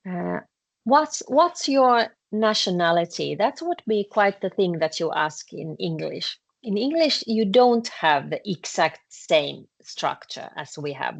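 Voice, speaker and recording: A woman with a Finnish accent teaching a language class, explaining patiently with frequent pauses and fillers. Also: on the low side, vocal fry. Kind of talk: classroom lesson explaining grammar.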